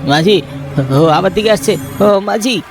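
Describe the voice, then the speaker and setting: Man doing an anxious high-pitched clerk on a boat. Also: a little slow, music in the background. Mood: terrified. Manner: urgent, pleading. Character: anxious homebound clerk, frightened boat passenger.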